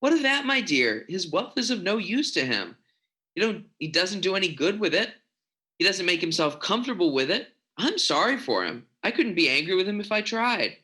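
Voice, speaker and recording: A man's voice: high voice, big pitch swings, moderate pace, bright, forceful tone, heavily acted. A man playing a cheerful, good-humored young nephew in an amateur radio play recorded over a video call. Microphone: home video call mic.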